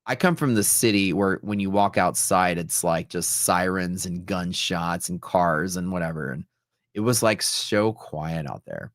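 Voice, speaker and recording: Deep-voiced man chatting on a livestream. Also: a little slow, no room sound, clean studio sound. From Texas, United States. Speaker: livestream host, futures trader. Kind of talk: casual livestream chat, storytelling.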